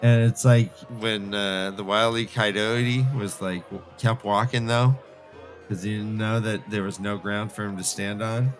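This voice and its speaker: A man's voice: lowish voice, steady tone, unhurried, faint music behind. A man co-hosting a podcast, discussing and bantering casually in a thoughtful, hesitant, wry way. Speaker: podcast co-host.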